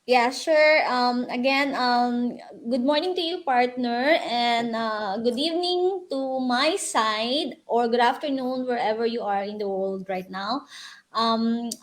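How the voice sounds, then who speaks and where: A woman from the Philippines co-hosting a casual online chat, friendly and halting, with many fillers. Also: a little high. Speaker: co-host. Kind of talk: casual live-stream chat.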